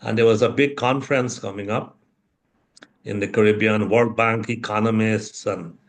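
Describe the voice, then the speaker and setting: An older man from Guyana, an interview guest speaking in a measured, thoughtful way with many pauses. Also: lowish voice, steady tone. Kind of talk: reflective interview talk.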